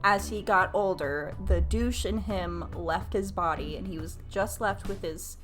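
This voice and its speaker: A woman's voice: lowish voice, unhurried, music in the background. A woman in her late twenties telling stories casually to livestream viewers, candid and self-mocking, with crude humor and free swearing. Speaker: game streamer.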